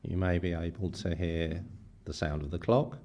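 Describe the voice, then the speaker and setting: A man leading a guided meditation as a teacher, speaking slowly in short phrases with long pauses. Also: low-pitched, level tone, warm tone. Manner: slow, pausing between short phrases.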